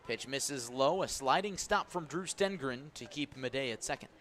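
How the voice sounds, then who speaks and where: A man doing live baseball play-by-play on the radio, mixing clipped pitch calls with conversational asides. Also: expressive, normal speed, fairly bright tone. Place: outdoor baseball stadium. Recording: clear outdoor stadium ambient noise. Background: outdoor ballpark ambience.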